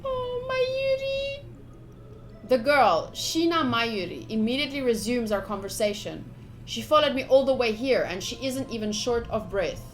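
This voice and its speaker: A woman livestreaming a visual novel playthrough, reading the text aloud with excitable, playfully dramatic and casual commentary. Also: a little high, unhurried, soft background music. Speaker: streamer playing a visual novel.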